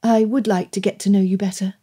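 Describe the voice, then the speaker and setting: A woman voicing a spirited, poised young gentlewoman in a period romance audiobook, formal yet candid and teasing. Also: medium pitch, flat delivery, quick pace, breathy edge, close to an everyday voice. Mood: candid, kind.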